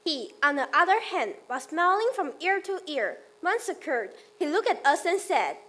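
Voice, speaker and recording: A woman's voice: very high-pitched, sing-song, normal speed, a bit bright. A female performer narrating a story in a scripted readers theater style.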